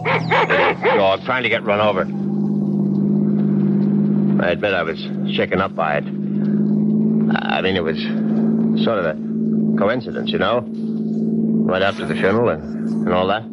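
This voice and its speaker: Smug scheming gentleman, low pitch, theatrical, urbane, old radio play, dull muffled sound. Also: huge pitch swings, a little slow, pauses often, very noisy, full character voice. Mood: rattled, irritable. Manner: urbane, self-satisfied, theatrical. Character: smug, scheming widowed gentleman, increasingly rattled. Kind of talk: 1970s radio drama acting, first-person narration. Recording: muffled 1970s radio recording, music behind.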